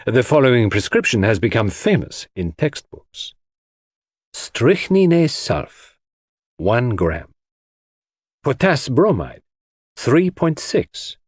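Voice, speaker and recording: Man playing a shrewd, theatrical detective, animated delivery. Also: medium pitch, breathy edge, dry recording, studio quality, exaggerated voice. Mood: triumphant, instructive. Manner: deliberate, dramatic, rhetorical questions. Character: shrewd theatrical male detective, lecturing his suspects methodically. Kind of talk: audiobook character dialogue.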